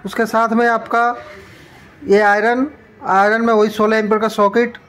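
A man's voice: sits high, little pitch movement, moderate pace, a bit bright, faint music behind. Male product presenter, informal shop-demo delivery, plain and explanatory. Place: small shop, indoors.

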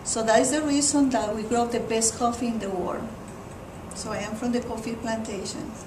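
A woman's voice: a little high, steady tone, moderate pace. A middle-aged woman with a Colombian accent, a native Spanish speaker, answering interview questions as a teacher. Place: school classroom, indoors. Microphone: camera at a distance. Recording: roomy, noisy classroom, distant mic.

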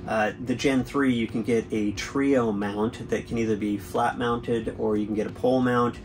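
A man's voice: mid-range voice, steady tone, moderate pace, music in the background. A man in his forties hosting a boating channel, chatting through a product review from a boat cabin with an easygoing, explanatory manner and frequent fillers.

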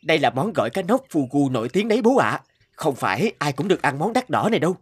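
An exasperated, high-pitched son. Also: male voice, big pitch swings, quick pace, breathy edge. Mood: brisk. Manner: scolding, emphatic. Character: impatient, busy adult son, proud and easily exasperated. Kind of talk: animated moral story dubbing.

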